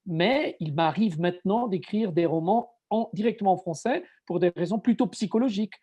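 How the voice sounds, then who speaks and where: A man's voice: sits high, normal speed, mellow, husky. A middle-aged man from Switzerland whose first language is Italian, reflecting in an interview and repeating words as he searches for them. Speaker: guest writer. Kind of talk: conversational interview answer.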